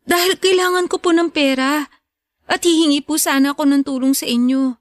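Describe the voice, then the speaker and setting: Woman acting hurt young daughter, radio drama, high voice, dry sound, earnest, respectful, pleading. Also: steady tone, fairly quick, no room sound, close to an everyday voice. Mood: anxious, pleading. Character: hurt, resolute young daughter standing up for her mother.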